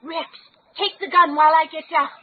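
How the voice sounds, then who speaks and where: Radio adventure heroine: high voice, earnest, bossy, melodramatic, over a tinny noisy recording. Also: female voice, fairly even intonation, fairly quick. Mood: commanding. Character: determined, dramatic young heroine, commanding and awestruck. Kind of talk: old-time radio adventure acting. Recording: tinny band-limited radio drama, background noise.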